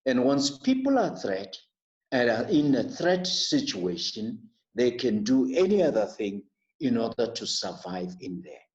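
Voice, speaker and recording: Man, webinar panellist, slow measured talk with a lively pitch, dull call audio. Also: gravelly. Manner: slow, deliberate speaking. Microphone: video call. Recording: muffled video call audio.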